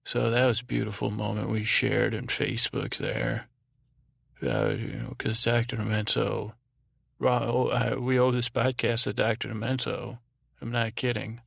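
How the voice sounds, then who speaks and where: A man's voice: lowish voice, normal speed, gravelly, no room sound, studio quality. A man hosting a bedtime podcast in a soothing, soporific drone, meandering and stumbling through his monologue as he interrupts himself. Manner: droning, monotone. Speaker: podcast host.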